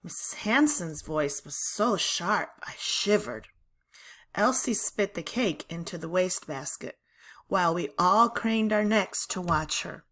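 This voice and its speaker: A woman reading a children's novel aloud in expressive, deliberate narration. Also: lowish voice.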